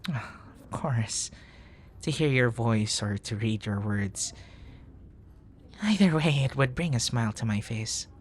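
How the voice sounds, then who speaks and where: A man playing a charming, smitten young nerd in an ASMR boyfriend roleplay, murmuring softly in courtly, poetic phrases. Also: medium pitch, expressive, a little slow, slight background noise, close to an everyday voice. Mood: tender, teasing.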